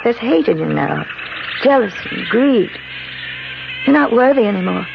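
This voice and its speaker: An actress playing an enchanting, gently stern guardian woman in a 1970s radio drama, measured, grave and regretful. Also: a little high, big pitch swings, creaky, heavily acted. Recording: dull, noisy 1970s radio recording.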